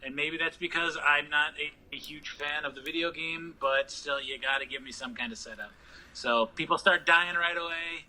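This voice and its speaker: A man's voice: a little high, moderate pace, bright, forceful tone, poor audio. A man co-hosting a podcast, talking bluntly and informally in a casual film review. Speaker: movie podcast co-host.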